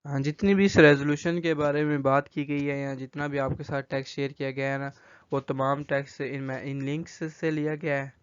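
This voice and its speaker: Young man, Pakistani accent, student presenter, monotone, smooth tone, halting with repeated words, dull lo-fi recording. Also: medium pitch, dry recording. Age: young adult. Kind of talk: student presentation, semi-scripted lecture.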